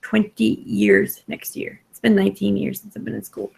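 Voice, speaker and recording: Thirty-something woman, super gravelly husky voice, rambling chat on a call. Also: mid-range voice, big pitch swings, normal speed, mellow. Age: 30s. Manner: chatty, rambling. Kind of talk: casual late-night group chat. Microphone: online group video call.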